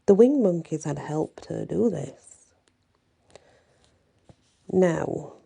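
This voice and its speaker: Slow, hushed woman storyteller with dark timbre. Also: on the low side, gravelly. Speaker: storyteller reading a tale aloud. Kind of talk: ASMR bedtime story narration.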